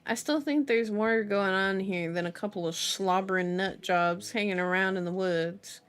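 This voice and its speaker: A woman's voice: mid-range voice, normal speed, smooth, lightly acted. A woman voicing a worried, serious policeman in an earnest, cautioning way while reading a novel aloud. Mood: concerned.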